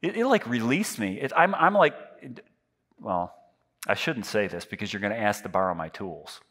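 A man's voice: lowish voice, expressive, moderate pace, fairly bright tone. A man in his fifties preaching a sermon on a church stage into a headset mic, talking in a loose, self-deprecating way with frequent restarts. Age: 50s. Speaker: pastor. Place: large church stage with lit backdrop.

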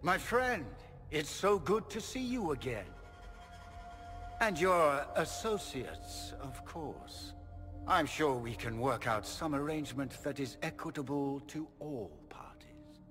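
Villain: smooth, menacing middle-aged magister, grandiose; reverberant hall, soft score underneath. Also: male voice, on the high side, sing-song, slow pace, full character voice. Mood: courteous. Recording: game audio with hall reverb and music.

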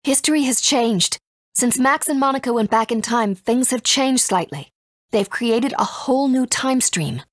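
A woman's voice: fairly high pitch, lively intonation, normal speed, no echo, studio quality. A woman playing a composed, authoritative guide in a video game, serious and explanatory. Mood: decisive. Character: composed, authoritative woman guiding young heroes.

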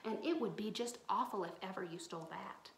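A woman voicing a bold, quick-witted young country girl in a storybook, sweetly polite and cunning. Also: mid-range voice, lightly acted. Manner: pleading, sweetly cunning folksy talk. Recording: roomy echo, some background noise.

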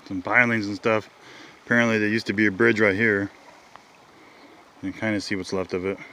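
Man, 50s, low voice, casual hiking narration, curious, phone recording outdoors, faint ambience. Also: fairly quick, a little hiss or hum. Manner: relaxed, curious, musing aloud while walking. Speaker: vlogger. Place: wet forest trail outdoors, rain. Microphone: handheld phone.

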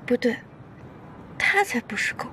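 A woman in her twenties playing a spirited, cajoling young employee in a TV drama, expressive and chatty, quick to grumble. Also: a little hiss or hum. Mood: indignant. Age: 20s. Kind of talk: naturalistic TV drama acting.

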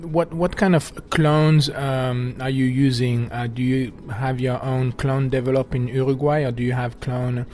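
French-accented man, flat hesitant talk. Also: mid-range voice, a little slow, few pauses, slight background noise. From France. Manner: hesitant, filler-heavy. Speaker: winemaker. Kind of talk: casual conversation.

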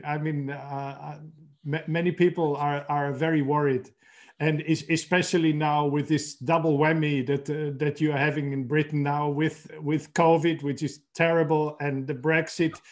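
A middle-aged man from Austria hosting a podcast interview over a video call from his home study, talking haltingly and repeating words as he searches for phrasing. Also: on the high side, little pitch movement. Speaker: podcast host. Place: home room with bookshelves.

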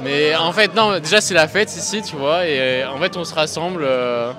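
Man, carnival goer, slangy and halting, loud music behind. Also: on the high side. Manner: casual slang, hesitant. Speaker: carnival participant.

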